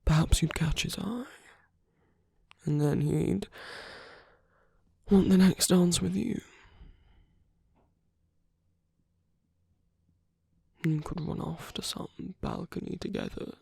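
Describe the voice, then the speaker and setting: A suave masquerade villain, acted out by a performer for a romantic ASMR roleplay, speaks in a husky, breathy near-whisper. The delivery is slow and teasing, with lingering pauses and insinuation. It is recorded very close and dry. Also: mid-range voice, darkish tone, no room sound. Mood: seductive, scheming. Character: suave flirtatious villain at a royal masquerade ball. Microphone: close dry microphone.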